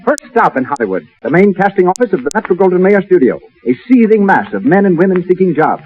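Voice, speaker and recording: Fast, high-pitched 1930s radio narrator, male. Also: very animated, music in the background. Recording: dull, slightly noisy 1930s radio broadcast.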